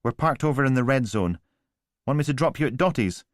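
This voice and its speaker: A man's voice: medium pitch, expressive, fairly quick, no room sound, studio quality, pitched above the performer's own voice, close to an everyday voice. A man voicing a blunt, practical female police officer.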